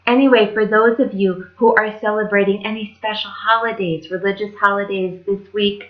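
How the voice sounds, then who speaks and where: A woman's voice: medium pitch, fairly even intonation, moderate pace, highs cut off. A woman telling stories to children as a library storyteller, in a casual style.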